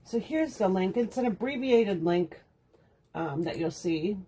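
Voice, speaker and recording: A woman's voice: medium pitch, animated, unhurried, warm tone, slightly rough. Congested, nasal woman chatting casually. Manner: chatty, rambling, laughs often. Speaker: card-making instructor. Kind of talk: casual livestream chat.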